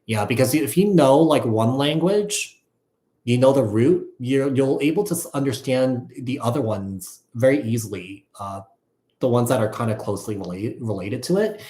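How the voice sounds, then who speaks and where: A man giving a casual live tarot reading, thinking aloud in a halting, repetitive way. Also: mid-range voice, expressive, mellow. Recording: echoey, roomy sound.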